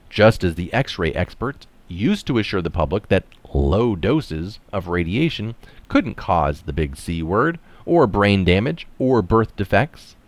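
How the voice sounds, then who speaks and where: Deep-voiced man reading aloud, very animated intonation. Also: dry recording. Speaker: article reader and commentator. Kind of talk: reading an article aloud with casual asides.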